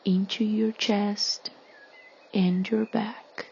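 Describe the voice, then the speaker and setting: A woman leading a guided meditation in a soothing, hushed, breathy delivery with long pauses. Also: mid-range voice, little pitch movement, highs cut off.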